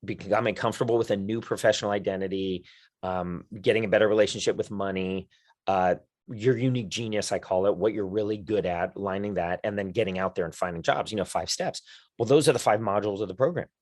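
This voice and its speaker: A man, a career coach for lawyers, speaking in an enthusiastic, conversational podcast interview with quick lists and restarts. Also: lowish voice, darkish tone, dry recording, clean studio sound. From United States.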